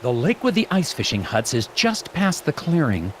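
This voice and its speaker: A dutiful, polite FBI agent in a video game. His voice sits fairly high and swings in pitch as he speaks formally and earnestly. Faint noise runs behind him. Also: very animated, moderate pace. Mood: musing, determined. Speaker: game character, investigator. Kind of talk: scripted video game dialogue and narration.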